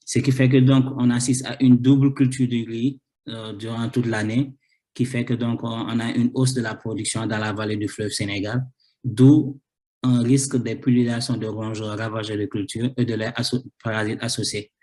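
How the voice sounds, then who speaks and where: A man's voice: medium pitch, level tone, moderate pace, warm tone. A man with a cold giving an academic thesis talk, hesitant, with many fillers and repeated words. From Senegal. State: has a cold. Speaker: researcher presenting his thesis.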